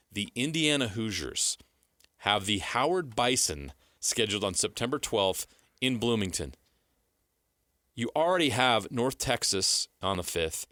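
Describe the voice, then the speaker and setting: A man's voice: lowish voice, pushed and bright, no room sound, clean studio sound. An American man co-hosting a sports talk show, pressing his case insistently and repeating points for emphasis. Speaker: sports radio co-host. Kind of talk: sports talk, making a pitch to a co-host.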